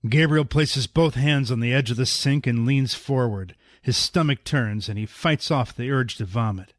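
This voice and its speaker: A man's voice: medium pitch, normal speed, dry recording, studio quality, close to an everyday voice. A man acting as the composed narrator of a superhero audio drama, reading scripted present-tense description. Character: composed male storyteller narrating a superhero tale.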